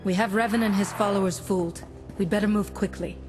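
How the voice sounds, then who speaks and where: Resolute female Jedi actress, measured and earnest, average pitch, music underneath, for a video game. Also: fairly even intonation, natural voice. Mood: calm, resolute. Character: calm, resolute young female Jedi hero.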